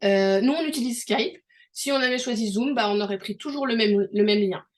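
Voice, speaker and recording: A middle-aged woman from France, talking casually with a somewhat high voice. She is chatty and explains things through everyday examples, often restarting her sentences. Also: brisk. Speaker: host. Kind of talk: casual conversational interview.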